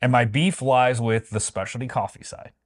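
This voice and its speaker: A man's voice: medium pitch, normal speed. A man co-hosting a travel vlog, chatting casually and giving opinions in a playful, wry way with mock-grand emphasis. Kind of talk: casual opinionated review chat.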